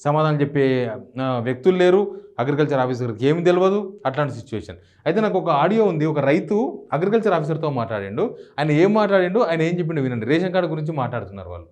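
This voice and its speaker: A man's voice: fairly high pitch, lively intonation, quick pace, a little mellow. A man with a Telangana Telugu accent giving opinionated news commentary, firing off indignant rhetorical questions.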